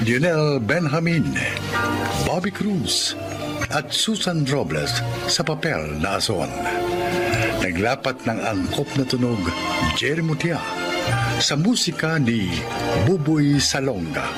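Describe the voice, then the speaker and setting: A man with a Filipino accent announcing a radio drama in formal, measured scripted narration. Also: mid-range voice.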